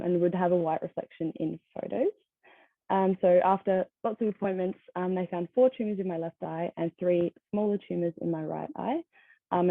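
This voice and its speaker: A teenage woman speaking softly over a video call, fitting in frequent ums and small laughs. Her voice sits a little low and comes through a narrow, tinny line. The sound is dry and close. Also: dry recording. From Australia. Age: teens. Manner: soft-spoken, many ums, laughs lightly. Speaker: conference speaker.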